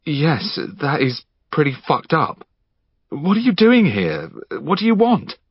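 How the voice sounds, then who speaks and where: A man voicing a frightened young man who shouts in alarm as he is attacked. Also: on the high side, huge pitch swings, slow, breathy edge, dry recording, pitched above the performer's own voice, exaggerated voice. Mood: shaken. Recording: slightly dull dry studio recording.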